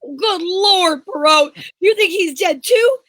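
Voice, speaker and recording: A woman playing a flustered, excitable male police inspector in an old-time radio style drama, melodramatic and exclamatory. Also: extremely high pitch, slow, no echo, full character voice. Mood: alarmed, explaining.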